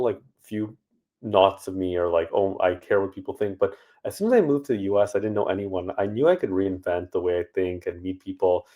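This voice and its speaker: Deep-voiced man, relaxed. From Canada. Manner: relaxed and conversational, many fillers. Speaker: startup founder guest. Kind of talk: casual interview answers.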